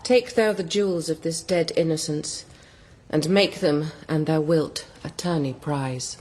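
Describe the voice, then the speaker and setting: Low-voiced solemn queen. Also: measured pace, darkish tone, slight background noise. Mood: sorrowful, solemn. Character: grieving, cool medieval queen. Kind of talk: dramatic verse audio drama.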